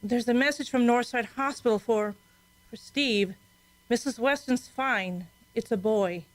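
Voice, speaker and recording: An actress playing an efficient female control room secretary in an old-time radio drama, measured and matter-of-fact. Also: fairly high pitch, no echo, lightly acted, low-quality recording. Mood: composed.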